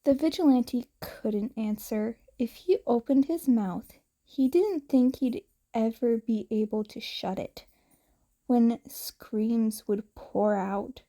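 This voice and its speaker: A woman reading fiction aloud as an expressive story narrator. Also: a little high. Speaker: podfic narrator.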